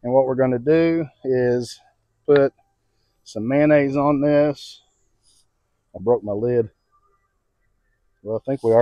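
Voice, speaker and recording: A man's voice: mid-range voice, dark tone, no room sound, lo-fi sound. An American man hosting a casual outdoor cooking vlog, talking in a folksy, informal way and thinking aloud. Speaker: home cooking video host. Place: outdoors on a home patio. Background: music from a radio.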